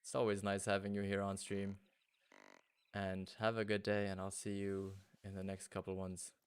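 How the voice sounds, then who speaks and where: Male streamer, German native, deep and flat delivery, casual running chat with viewers. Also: moderate pace, clean tone. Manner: thinking aloud, greeting chat viewers. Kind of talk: casual gaming livestream chat.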